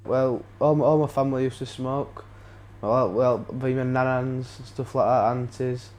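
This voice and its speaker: A man's voice: mid-range voice, steady tone, moderate pace, a little mellow. A teenage man, interviewed, talking casually and hesitantly. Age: teens.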